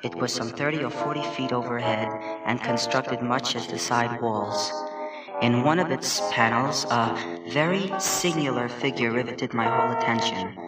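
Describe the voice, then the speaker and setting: A man reads a horror tale as a dramatic narrator, deliberate and full of anguish. His voice sounds set back from the microphone in a roomy, echoing vintage recording. Prominent music plays behind him throughout. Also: mid-range voice, distant mic. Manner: theatrical, deliberate, anguished delivery. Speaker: story narrator. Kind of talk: dramatic horror audiobook reading.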